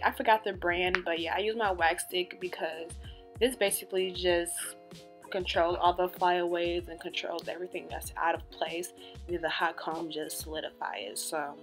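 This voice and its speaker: A woman in her twenties with a dry, deadpan voice, chatting casually through a hair tutorial as a beauty vlogger. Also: fairly low pitch. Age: 20s. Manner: monotone, deadpan delivery. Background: background music.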